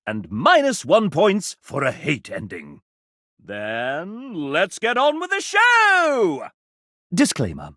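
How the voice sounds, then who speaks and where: Flamboyant, swooping game host. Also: male voice, mid-range voice, fairly bright tone, no echo, studio quality, exaggerated voice. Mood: arch announcing. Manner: arch, dramatic, drawn-out phrasing with big swoops. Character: flamboyant, theatrical male game-developer host, fussy and sardonic. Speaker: video game character acting as game show host. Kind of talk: theatrical video game voice acting.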